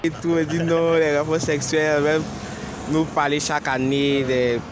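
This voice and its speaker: A man on the street giving halting answers to an interviewer. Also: a little high, flat delivery. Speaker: passer-by. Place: street outdoors. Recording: noisy street with cars.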